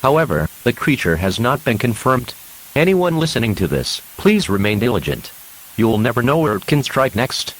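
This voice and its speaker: A man playing an earnest radio news announcer, reading a scripted emergency alert in a formal, measured manner. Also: mid-range voice, big pitch swings, fairly bright tone, poor audio. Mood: grave, formal.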